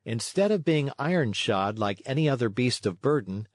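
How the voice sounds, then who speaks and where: A man reading a children's story as scripted narration, expressive in his storytelling. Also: medium pitch, normal speed, no room sound, clean studio sound.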